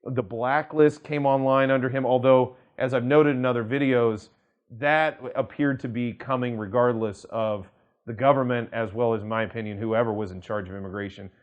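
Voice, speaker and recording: An American man, an attorney, presenting news commentary in a measured, emphatic manner, stressing phrases deliberately and drawing some out. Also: medium pitch, fairly even intonation, narrow, tinny audio. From United States. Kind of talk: news commentary to camera, quoting articles.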